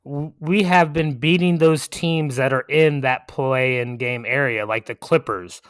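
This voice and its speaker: A man co-hosting a sports chat podcast in a smooth, clear voice. He answers in a steady, plainspoken way and goes along with the others. The sound is close and dry. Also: medium pitch, dry recording. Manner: agreeable, measured, plainspoken answers. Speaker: podcast co-host.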